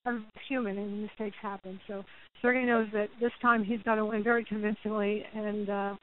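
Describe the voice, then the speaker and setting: A woman's voice: medium pitch, little pitch movement, fairly quick. A woman who represents a boxing team, answering press questions on a conference call. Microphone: phone call. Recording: hissy, narrowband phone conference line.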